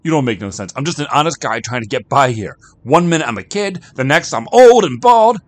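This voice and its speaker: A man voicing a hotheaded coarse brawler yelling. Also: mid-range voice, very animated, fairly quick, dry recording, pitched above the performer's own voice, natural voice. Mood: angry. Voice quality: shouting, coarse and rough-tongued. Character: violent bitter middle-aged brawler, coarse and hotheaded.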